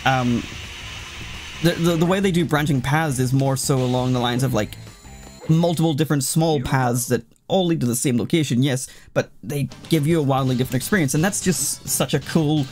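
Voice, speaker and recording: A man's voice: medium pitch, normal speed. A man giving casual, sweary let's play commentary, rambling and often cutting himself off as he reacts to the game. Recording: loud game music behind. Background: video game music.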